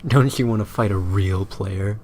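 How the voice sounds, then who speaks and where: A man's voice: fairly low pitch, unhurried, a little mellow, slight background noise, natural voice. A man playing a smug, taunting villainous gamer rival in a story reading, sly and goading.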